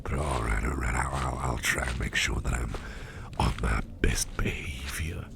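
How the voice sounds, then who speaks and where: A man voicing a mischievous boss with sharp teeth in an ASMR roleplay, talking in a soft, teasing half-whisper. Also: extremely low pitch, fairly even intonation, normal speed, gravelly, background noise, full character voice. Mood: confiding.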